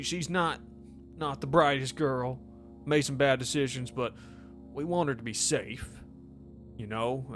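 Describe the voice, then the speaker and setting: A man playing a weary working-class father in his late thirties, talking in a halting, apologetic way. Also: medium pitch, a bit bright, lightly acted.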